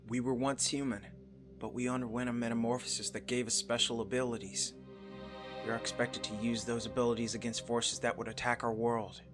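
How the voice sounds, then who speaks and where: Visual novel game: brooding young male angel soldier, played by a man, mid pitch, monotone and measured, clear music underneath. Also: natural voice. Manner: flat, monotone, measured delivery. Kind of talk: scripted visual novel narration and dialogue.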